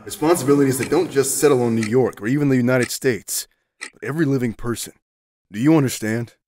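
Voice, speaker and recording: A man playing a grave, stern mentor in an audio drama, speaking in a solemn, measured and insistent way. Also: medium pitch, a little mellow, no room sound, natural voice. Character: grave, stern male mentor of a chosen hero. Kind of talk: scripted audio drama acting.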